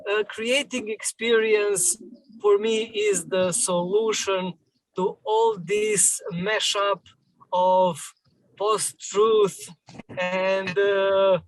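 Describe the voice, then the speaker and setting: Slow, halting panelist on a video call. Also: mid-range voice, poor audio. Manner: halting, many fillers. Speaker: roundtable panelist. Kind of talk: reflective discussion.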